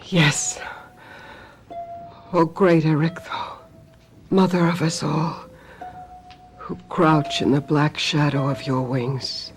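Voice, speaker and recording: An actress playing a bitter, sharp-tongued talent agent, a woman scorned, in a theatrical radio mystery drama with a biting, commanding manner. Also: low voice, steady tone, slow pace, long pauses, faint music behind. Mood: uneasy, reverent.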